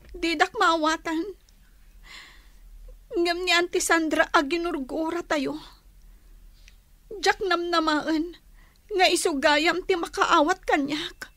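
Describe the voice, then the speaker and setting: An actress playing a troubled, hurt young daughter in a Filipino radio drama. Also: female voice, extremely high pitch, sharp tone, no echo, exaggerated voice.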